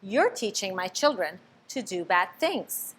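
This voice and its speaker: A woman's voice: medium pitch, very animated, unhurried, fairly bright tone, a little hiss or hum. A woman voicing a strict, protective mother bird who scolds sharply. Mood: scolding.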